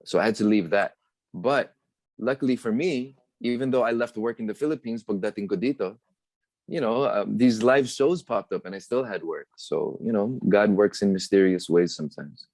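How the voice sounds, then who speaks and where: A young man with an American accent, a guest on a video-call interview, talking in a relaxed way and slipping Tagalog phrases into his English. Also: lowish voice, normal speed, no echo. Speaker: guest performer and entrepreneur. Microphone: video call webcam.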